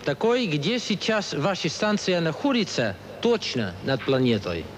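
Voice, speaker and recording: A man's voice: on the high side, wildly swooping pitch, unhurried, noisy background. A middle-aged man from the Netherlands with a Dutch accent, asking questions from a TV studio and stumbling over his words. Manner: hesitant, stumbling in Russian.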